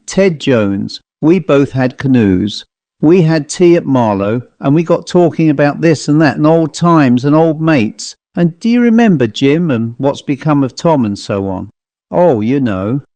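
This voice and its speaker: A man's voice: mid-range voice, a little mellow, no room sound. A man reading in character as a chatty, genial commercial traveller telling an anecdote in colloquial style for an audiobook ghost story. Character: dapper commercial traveller, chatty male raconteur of ghost stories.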